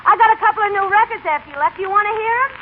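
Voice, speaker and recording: Radio drama: brassy, slangy American mother, very high and animated, on a tinny recording. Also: very fast, a little hiss or hum. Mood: brassy, impatient. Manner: slangy, brassy, folksy grammar. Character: brash, coarse working-class mother, hiding heartbreak. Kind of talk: 1930s-40s radio drama acting.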